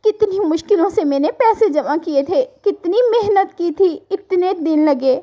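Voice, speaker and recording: A woman's voice: very high-pitched, normal speed, mellow. A woman voicing a hardworking little mother bird in a children's cartoon story, earnest and emotional. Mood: distraught.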